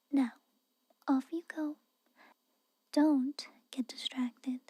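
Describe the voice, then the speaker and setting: Woman acting a whispering, high-voiced tsundere maid. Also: dry recording. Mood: aloof, reluctant concern. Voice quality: soft, breathy whisper. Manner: hesitant, halting, grudging, cautioning. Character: tsundere castle maid, guarded, haughty, secretly caring. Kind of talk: whispered ASMR roleplay. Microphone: close microphone.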